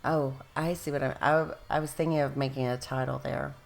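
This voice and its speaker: A woman's voice: extremely low pitch, steady tone, a little fast, creaky. A woman host narrating her scrapbooking process in a casual, rambling way, losing her voice and straining it, often correcting herself. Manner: rambling, self-correcting, chatty.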